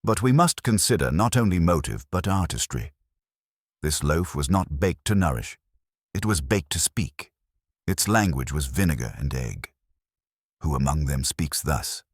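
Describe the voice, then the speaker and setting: A man's voice: a bass voice, expressive, a little slow, husky, no room sound, studio quality, exaggerated voice. A man voicing an aloof, cerebral detective in a mystery audiobook, speaking in formal, pompous pronouncements. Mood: pondering, certain.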